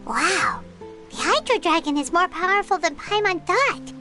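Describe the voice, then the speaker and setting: A woman voicing a tiny, excitable floating girl companion who talks about herself in the third person, in a video game. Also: extremely high pitch, normal speed. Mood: chirpy. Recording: game audio with loud music behind.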